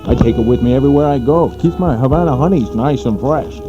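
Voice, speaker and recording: A man acts out a smooth, cocky wise guy for a radio commercial, in old-time tough-guy patter. His mid-pitched voice sits over clear music on a slightly dull recording. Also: quick pace, close to an everyday voice. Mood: smug.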